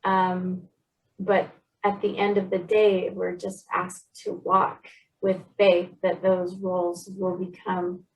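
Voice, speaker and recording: A woman's voice: on the low side, completely flat delivery, slow, a little mellow. Woman, interviewer, encouraging, affirming, distant mic. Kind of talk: conversational interview.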